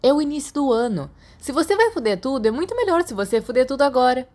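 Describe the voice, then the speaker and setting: A man's voice: very high voice, big pitch swings, fast talker, darkish tone, voice pushed up. A woman reading a storybook voices a cocky, teasing teenage wizard schoolboy with a mock-solemn manner. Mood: blunt.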